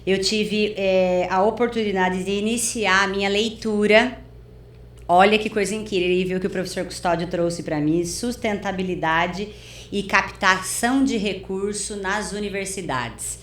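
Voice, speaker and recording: A woman's voice: mid-range voice, unhurried. A Brazilian woman hosting a studio interview in an effusive, emphatic, enthusiastic way. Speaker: interview host. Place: recording studio. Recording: roomy echo, faint background noise.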